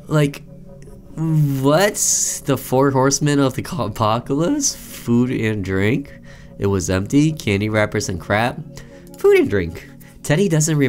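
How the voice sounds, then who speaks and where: A man doing let's play commentary, reading a visual novel aloud theatrically and breaking off for offhand asides. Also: lowish voice.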